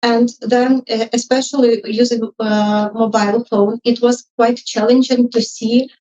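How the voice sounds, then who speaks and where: A woman with a fairly high, rather even voice speaks English as a second language. She is hesitant and apologetic as she offers informal feedback. She sounds distant from the microphone. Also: a little slow. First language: not English. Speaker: audience member, junior UX designer. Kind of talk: informal spoken feedback and questions. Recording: muffled live event audio, distant mic.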